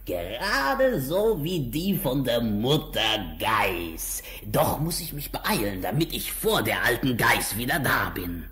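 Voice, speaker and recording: A sly, greedy wolf from a fairy-tale record. The voice is gruff and forceful, swooping widely in pitch. It drags out its words with theatrical menace. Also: male voice, sing-song, takes it slowly, few pauses. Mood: grumbling, scheming. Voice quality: rough, gruff, growling. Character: sly, greedy, hungry big bad wolf. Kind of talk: German fairy-tale audio play acting.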